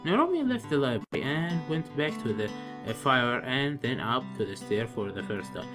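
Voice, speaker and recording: Male let's player, stumbling through visual novel narration, guitar music clearly behind him. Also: medium pitch, sing-song. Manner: halting, stumbling read-aloud delivery. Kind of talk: reading a visual novel aloud, voicing the dialogue.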